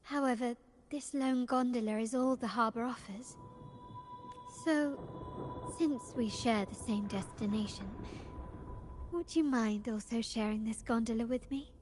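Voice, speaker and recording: A woman playing a poised, cultured and enigmatic young lady in a video game, speaking formally and with measured eloquence. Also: on the high side, darkish tone, soft background music, natural voice. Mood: polite, intrigued. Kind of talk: scripted video game dialogue.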